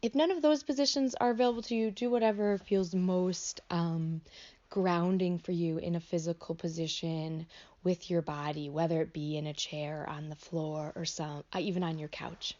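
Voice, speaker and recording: A woman teaching a guided meditation in an unscripted, gentle way, offering instructions as tentative invitations. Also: lowish voice, steady tone, moderate pace, lo-fi sound. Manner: gentle, hesitant, invitational phrasing.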